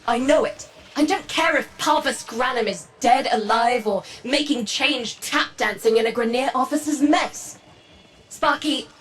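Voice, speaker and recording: A woman's voice: fairly high pitch, fairly bright tone, slight background noise. A woman playing a tough, commanding underworld leader in a video game, blunt and resolute. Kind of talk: video game character dialogue.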